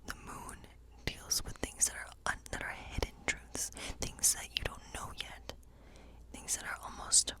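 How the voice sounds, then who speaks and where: A woman speaks in a breathy, unvoiced whisper, as in an ASMR fantasy role play. She gives a tarot reading in a gentle, unhurried way, explaining things in short phrases with pauses between them.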